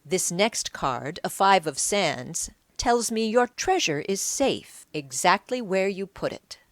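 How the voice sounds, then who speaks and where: Woman doing a shrewd egyptian slave detective guy playing fortune teller, measured n probing, audiobook style, pretty expressive. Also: mid-range voice, dry recording. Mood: sly, measured. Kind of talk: audiobook character acting.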